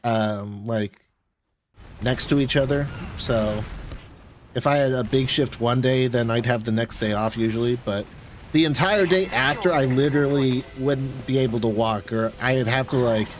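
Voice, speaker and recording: A man's voice: mid-range voice, normal speed. An American man chatting casually on a game livestream, rambling in a conversational way. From United States. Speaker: game streamer. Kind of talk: casual livestream chat while gaming.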